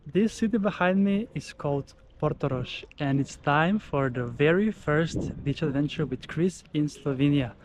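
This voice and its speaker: Man, fairly high voice, enthusiastic travel vlog talk, outdoors by the sea, faint ambience behind. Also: moderate pace, few pauses, background noise. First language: Macedonian. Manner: enthusiastic, touristy commentary. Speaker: travel vlogger. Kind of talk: casual on-location vlog narration. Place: outdoors on a seaside beach promenade.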